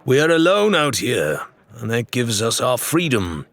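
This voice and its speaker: A man voicing a measured pirate captain who reasons like an orator, for an audiobook. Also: medium pitch, very animated, a bit bright, voice pushed up. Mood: reasoned, challenging. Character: measured, pipe-smoking male pirate captain. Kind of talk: audiobook character voice.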